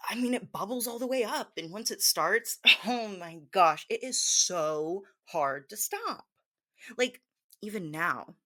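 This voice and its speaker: Woman, sparkling bright timbre, medium pitch, giggle-filled delivery, coy and scatterbrained, playful guided hypnosis role-play. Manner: giggly, bubbly, scattered, with breaks into laughter; coy, cutesy, encouraging. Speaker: hypnosis performer playing a confused teacher.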